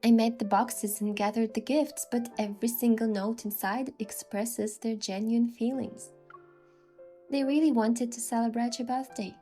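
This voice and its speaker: Gentle woman narrating a romantic story. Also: on the high side, steady tone, moderate pace, soft background music. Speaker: narrator and heroine voice-over. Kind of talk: scripted first-person story narration.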